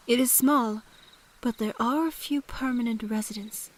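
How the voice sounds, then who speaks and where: A woman playing a mysterious forest woman in an audio drama, speaking in a formal, measured, old-fashioned way and calmly giving instruction. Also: fairly high pitch, close to an everyday voice.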